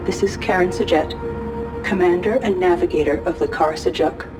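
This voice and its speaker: A woman's voice: fairly low pitch, moderate pace, over music, lightly acted. A woman playing a resolute female fleet commander in a game, giving a solemn, urgent recorded message. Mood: grave.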